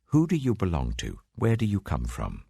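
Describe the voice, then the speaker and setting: Man acting an insistent warrior leader, animated intonation. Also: low-pitched, moderate pace, gravelly, breathy edge, no room sound, clean studio sound, exaggerated voice. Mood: urgent, questioning. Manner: questioning, insistent. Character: proud young warrior leader, earnest and insistent.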